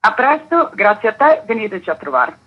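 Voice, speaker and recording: A woman's voice: a little high, big pitch swings, fairly quick, husky, faint music behind. A woman giving conversational interview answers as a festival co-director, heard through dull, lo-fi sound.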